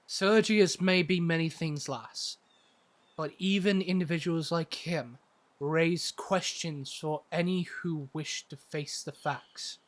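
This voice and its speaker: A man acting a measured, cryptic doctor in a fantasy audio drama, with deliberate, formal speech. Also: a little high.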